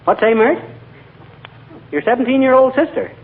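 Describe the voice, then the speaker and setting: An actor playing a grumbling, wisecracking middle-aged husband in a folksy manner, in a 1940s radio comedy. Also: male voice, very high voice, expressive, normal speed. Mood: breezy joking. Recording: noisy, tinny 1940s radio broadcast.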